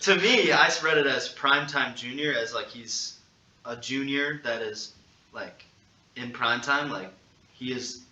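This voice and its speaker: A young man in his late teens co-hosting a podcast in casual chat. His voice is very bright and sharp, picked up from a distance in an echoey space with some noise behind it. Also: mid-range voice, unhurried. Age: teenage high school senior. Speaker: podcast co-host.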